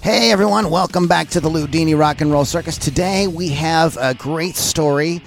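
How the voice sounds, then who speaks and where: A man's voice: a little high, moderate pace, creaky, a little hiss or hum. A man hosting a rock podcast, enthusiastic and rambling, with lots of uh's as he introduces and questions his guest. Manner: enthusiastic, rambling, many fillers. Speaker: podcast host.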